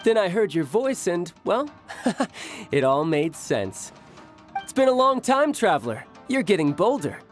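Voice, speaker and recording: A cocky young adventurer from a video game, smooth-talking and playful. His pitch moves freely as he teases, over a faint bed of music. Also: big pitch swings, measured pace. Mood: amused, teasing. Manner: playful, teasing, self-assured. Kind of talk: game cutscene acting.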